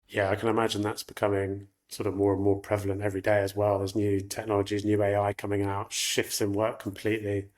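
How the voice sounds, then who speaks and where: Interviewer: man with a low, monotone voice, casual questioning. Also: fast talker, few pauses. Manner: conversational, lots of "sort of". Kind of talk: interview conversation.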